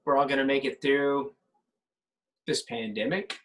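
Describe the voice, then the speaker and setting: A young man with a higher-than-usual voice for a man talks about his band in an easy podcast chat. He jumps in with quick restarts and casual asides. His pitch sits in the middle range. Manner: animated, restarts often, says "bro" and "man". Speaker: band singer.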